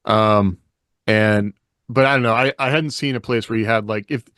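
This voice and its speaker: A man's voice: fairly low pitch, fairly even intonation, normal speed, a bit bright, no room sound, clean studio sound. A man co-hosting a podcast in casual, conversational discussion. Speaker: podcast co-host.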